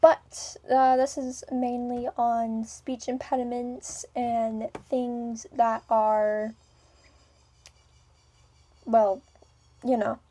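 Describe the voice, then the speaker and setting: A young woman with a speech impediment talks into her own vlog, her voice sitting a little high. She rambles, stops and starts again, and fills the gaps with ums. Her tone moves between smooth and businesslike and something more playful. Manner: hesitant, many ums and restarts. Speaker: vlogger.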